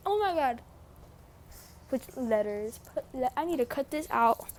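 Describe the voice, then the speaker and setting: A young woman giving casual, slangy, sweary and teasing commentary as a gaming vlogger, with unhurried delivery. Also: high-pitched, animated.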